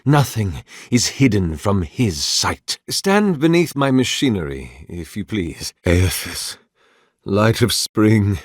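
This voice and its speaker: A devout, scholarly priest from a fantasy video game, weary yet courteous. His voice sits on the low side and moves very slowly, with formal, archaic phrasing and a thoughtful, reverent manner. The sound is close and dry. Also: big pitch swings, breathy edge, dry recording, exaggerated voice.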